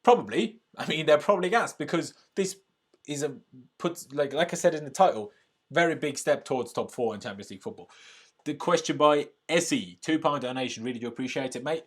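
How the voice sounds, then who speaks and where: A man's voice: fairly high pitch, animated, a little fast. A man from Austria hosting a football fan live stream, chatting casually and reading out comments and donations in an upbeat way. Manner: chatty and upbeat, often says "bloody brilliant".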